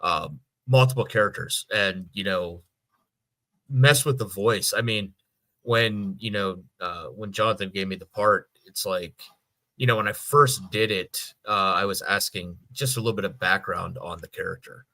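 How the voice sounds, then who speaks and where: A middle-aged man talking casually as a voice actor guest on a podcast, telling stories in an animated way. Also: sits low, moderate pace, no room sound.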